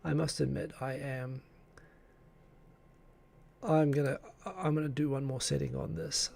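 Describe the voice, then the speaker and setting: An Australian man hosts a relaxed live stream, greeting viewers from the chat and poking fun at his own tech troubles. His voice is deep-grained and gravelly, with a dark, mellow tone and a husky edge. He sits close to a desk microphone. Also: measured pace. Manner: chatty, self-deprecating, greets viewers from chat. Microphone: desk microphone in front of him.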